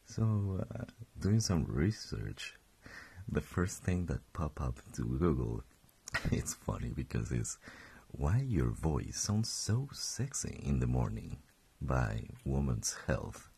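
Gravelly deep-voiced young man, just woken. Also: big pitch swings, slow pace, darkish tone. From Colombia. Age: 20s. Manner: rambling, self-correcting, chuckling. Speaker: podcast host. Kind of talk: casual solo podcast.

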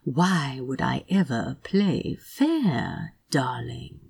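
A woman voicing a gentle, stubborn male angel in a story, prim, polite and soft-spoken. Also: a bass voice, big pitch swings, extremely slow, vocal fry, breathy edge, no echo, voice pushed down, heavily acted. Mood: soft, teasing.

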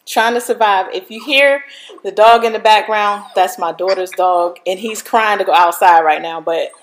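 Woman host, loud and chatty, lots of "um"s, mid pitch. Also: moderate pace. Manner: loud, chatty, frequent fillers. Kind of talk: casual talk show hosting.

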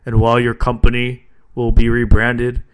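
A man's voice: medium pitch, monotone, clear, resonant tone, lightly acted. A man voicing a towering robed cult leader who speaks coolly and commandingly, as part of a horror story he reads aloud. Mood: cold menace. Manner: cool, measured, ominous.

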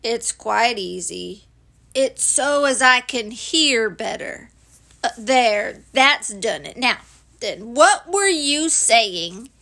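A woman acts out a doleful old donkey from a storybook. He drags each phrase out very slowly, with some creak in the voice. The delivery is deadpan and full of gloomy doubt. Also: a little high, heavily acted. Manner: slow, deadpan, mournful.

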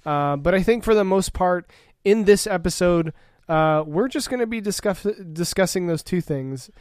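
A man hosts a laid-back tech podcast, rambling through a rundown with plenty of fillers. His voice is smooth and clear, and he sits a little higher than most men. The sound is dry and close, with no room echo. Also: normal speed. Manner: relaxed, uses many fillers. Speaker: podcast host. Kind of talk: casual tech podcast chat.

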